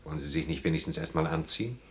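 An actor in a German radio play, playing a brooding engineer who speaks reflectively in halting, short phrases. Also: male voice, extremely low pitch, a bit bright, slightly rough, exaggerated voice. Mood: coaxing, dry. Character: brooding engineer haunted by wartime childhood and lost friends.

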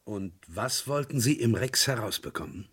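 An actor playing a calm, curious man in a German radio crime drama, asking questions in a measured, husky voice. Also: fairly low pitch, soft background music. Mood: attentive, curious.

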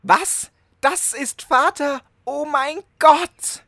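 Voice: sits high, very slow, sharp tone, no room sound, clean studio sound, full character voice. An actor playing an earnest, starstruck young warrior lad, stiff and deferential, in a comedic audio drama. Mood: horrified.

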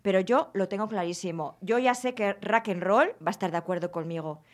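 A woman's voice: medium pitch, brisk. Enthusiastic woman hosting a podcast. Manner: enthusiastic, emphatic. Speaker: podcast host. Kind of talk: casual chat.

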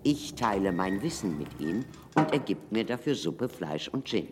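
A grave, older scholar in a radio play, with a very low voice that rises and falls expressively. He speaks slowly and emphatically, pausing for effect, in a reverberant hall with music underneath. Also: big pitch swings, a little hiss or hum. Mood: musing. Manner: emphatic, deliberate, with dramatic pauses. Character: grave scholarly excavator recounting a civilisation's collapse. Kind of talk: radio drama acting.

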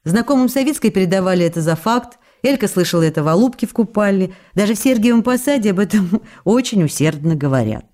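A woman's voice: mid-range voice, animated, fast, a little mellow, lightly acted. An actress in a radio drama reading the tender, playful love letters of a young woman actress, in an intimate, questioning way.

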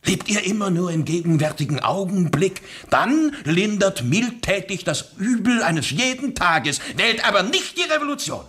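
Fanatical revolutionary, wildly swinging delivery. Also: male voice, very animated, moderate pace, hardly any pauses, slightly rough, heavily acted. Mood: furious, scornful. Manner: impassioned, declamatory, swinging from low to loud. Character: fanatical, embittered male Russian revolutionary, ruthless and proud. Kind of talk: radio play acting.

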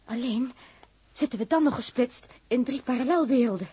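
A woman acting an anxious, questioning crew member of a space expedition in a 1960s radio drama, with a husky, breathy voice. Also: fairly high pitch, moderate pace, warm tone, dry recording. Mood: worried, questioning. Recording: old 1960s radio recording.

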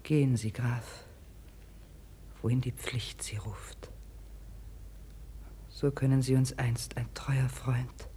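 Solemn gentle figure played by a man, mellow, hushed, unhurried, 1950s radio verse drama. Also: mid-range voice, fairly even intonation, close to an everyday voice. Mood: grave, gentle. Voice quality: hushed, half-whispered. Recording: old radio recording with noise.

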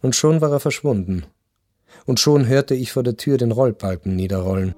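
A man in a radio play acting a melancholy, detached baron who tells his story in measured, sombre literary narration. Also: mid-range voice, a little mellow, no room sound, lightly acted. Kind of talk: literary first-person narration in radio drama.

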